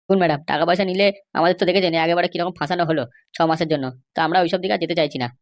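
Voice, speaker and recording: Woman as crooked cartoon police officer, lowish pitch, extremely fast talker, insistent and dismissive. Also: fairly even intonation, close to an everyday voice. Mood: insinuating. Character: stubborn, bribe-seeking police officer guarding a prisoner. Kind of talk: Bengali comedy cartoon dialogue.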